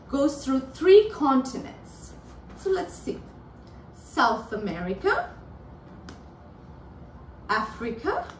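A woman with a high, lively voice guides young children through a lesson, speaking slowly and pointing things out like a patient teacher. She is recorded from a distance in a living room, so her voice carries a roomy echo. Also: a little hiss or hum. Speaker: children's author and circle time host. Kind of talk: children's lesson, slow and clear. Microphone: far camera.

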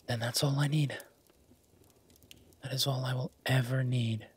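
Male, mid pitch, husky and unhurried: a man playing an affectionate, easygoing werewolf boyfriend for romantic audio roleplay. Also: fairly even intonation. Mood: tender, reassuring.

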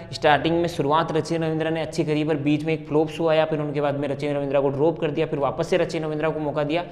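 A man's voice: on the high side, a little mellow. A young man giving emphatic, conversational sports analysis to camera as a cricket presenter. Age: young adult. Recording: long reverberant hall-like echo.

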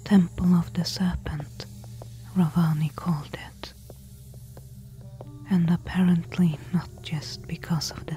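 A woman playing a cautious adventurer in a soft-spoken ASMR roleplay, breathy, hushed and hesitant, speaking intimately. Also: on the low side. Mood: wary. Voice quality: breathy, whispery. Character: cautious female adventurer exploring an ancient desert temple.

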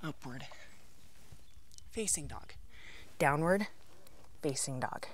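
A middle-aged woman with a slightly low voice guides a yoga class. She gives instructions in short, measured phrases with pauses between them, calling out each pose and breath as she moves through it herself. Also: expressive, a little hiss or hum. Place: outdoors on an open rocky canyon rim.

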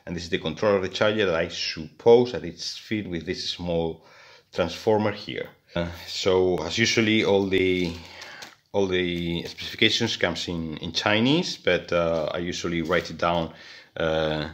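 A man's voice: low-pitched, unhurried. A man talking through an electronics repair at his workbench, hesitant, with many fillers and restarts. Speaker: electronics hobbyist. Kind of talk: hands-on repair tutorial. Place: indoors at a workbench.

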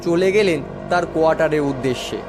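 A man's voice: fairly high pitch, quick pace, music in the background. A man giving scripted narration of a horror story as its storyteller.

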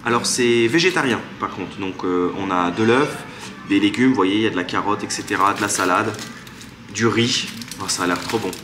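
A man's voice: lowish voice, moderate pace, background noise. A man in his thirties chatting enthusiastically to viewers as a travel vlogger, filming himself at arm's length in a small restaurant. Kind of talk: casual travel vlog. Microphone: handheld camera at arm's length. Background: background music.

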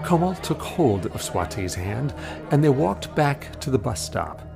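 A man's voice: mid-range voice, big pitch swings, a little slow, gravelly, over music, a crowd in the background. A man narrating an audio drama as a scripted story.

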